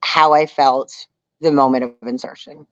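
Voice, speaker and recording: A woman's voice: a bass voice, fairly even intonation, a little slow, no echo. Female interview guest from Texas, candid conversational storyteller, dull lo-fi and very dry recording. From Houston, Texas area. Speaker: interview guest, outdoorswoman and reality show contestant.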